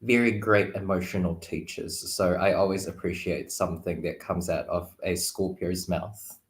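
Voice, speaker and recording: Deep-voiced man in an echoey room. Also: fairly even intonation, moderate pace. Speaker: tarot reader, guest. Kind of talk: casual, reflective chat. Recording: roomy, echoey sound.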